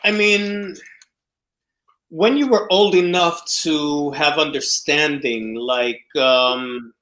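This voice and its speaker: A man's voice: a little high, takes it slowly, sharp tone. A man from New York hosting a casual video call conversation, asking questions with hesitant phrasing. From New York City. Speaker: host.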